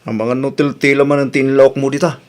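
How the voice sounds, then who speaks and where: A man's voice: medium pitch, flat delivery, brisk, a little mellow, natural voice. A man playing a practical, nagging husband who insists on quality, in an Ilocano comedy radio drama with an Ilocano Filipino accent. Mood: complaining.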